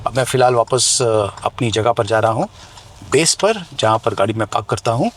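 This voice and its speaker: An Indian man presents a hands-on tech review in a conversational, explanatory way. His voice has a middling pitch, and some outdoor ambient noise sits behind it. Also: normal speed. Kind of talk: hands-on product review, mixing Hindi and English. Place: outdoors near residential buildings.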